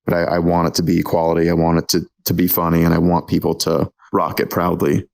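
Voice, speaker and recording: Guest: guy in his 20s, low and monotone, casual, hesitant, lots of ums. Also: fast talker, creaky. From Texas. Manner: hesitant, many ums and restarts. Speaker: podcast guest. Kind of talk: casual interview chat.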